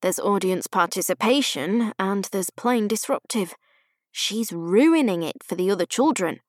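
A woman's voice: medium pitch, lively intonation, a little slow, no room sound, clean studio sound. A woman voicing a grumpy, disapproving grandfather in an audiobook, complaining in a stern, snooty manner. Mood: muttering, disapproving. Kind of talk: audiobook character voice.

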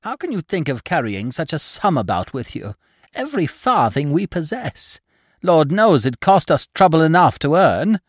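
Man putting on a shrill, scolding heavyset wife. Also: big pitch swings, normal speed, breathy edge, dry recording, clean studio sound. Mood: grumbling. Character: coarse heavyset grumbling middle-aged wife, alarmed and shrill.

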